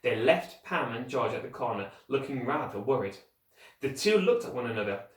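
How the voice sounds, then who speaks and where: Male children's-book reader, very lively intonation, roomy and distant sound. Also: mid-range voice, moderate pace. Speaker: teacher reading a children's book aloud. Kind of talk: animated storytelling, scripted narration. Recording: roomy echo, distant microphone.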